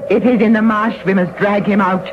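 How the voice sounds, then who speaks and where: An actress playing an anxious, excitable old peasant mother in a 1940s radio drama, fretful and pleading. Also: medium pitch, a little fast, noisy background, lightly acted. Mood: frantic pleading. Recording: muffled 1940s radio broadcast, music behind.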